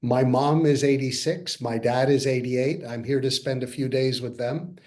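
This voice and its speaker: Sixties male co-founder, earnest and measured, pitching investors over video call. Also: a little mellow. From Canada. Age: 60s. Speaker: company co-founder presenting to investors. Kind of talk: webinar presentation. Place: parents' home, by video call.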